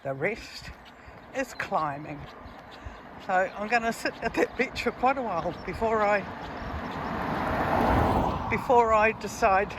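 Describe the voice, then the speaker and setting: A woman's voice: mid-range voice, lively intonation. A woman chatting casually and admiringly to a handheld camera as she walks, slightly out of breath. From New Zealand. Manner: admiring, unhurried, chatting while walking. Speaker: travel vlogger. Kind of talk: casual walking vlog chat. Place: outdoors on a hilly rural road. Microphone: handheld camera while walking. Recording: outdoor handheld recording with ambient noise.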